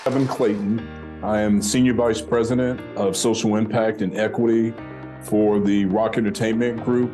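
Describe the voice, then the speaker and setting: A man's voice: lowish voice, level tone, normal speed. A middle-aged man, an executive giving an earnest interview testimonial in corporate phrasing. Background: guitar music.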